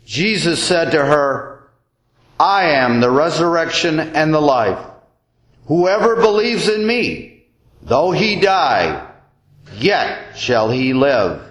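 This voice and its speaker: A man, a deacon, reading the Gospel as a solemn scripture reading, with measured, deliberate and expressive phrasing. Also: a little high. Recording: roomy, echoing church-like sound.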